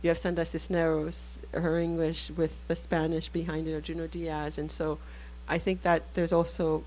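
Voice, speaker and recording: A woman author whose first language is Haitian Creole, speaking thoughtfully in a panel discussion, pausing and restarting often. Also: low voice, little pitch movement, a little mellow, a little hiss or hum, low-quality recording. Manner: thoughtful, halting, many restarts.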